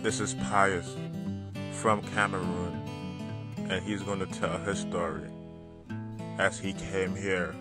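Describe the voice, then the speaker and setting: A man reading a scripted narration slowly and quietly. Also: low-pitched, level tone. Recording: distant voice under loud guitar music.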